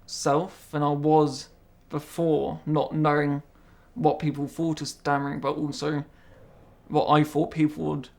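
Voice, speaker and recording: A young man who stammers, being interviewed and speaking slowly and carefully, often repeating words as he goes. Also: on the high side, little pitch movement, vocal fry, a little mellow. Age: young adult. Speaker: interviewee, apprentice. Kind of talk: interview conversation.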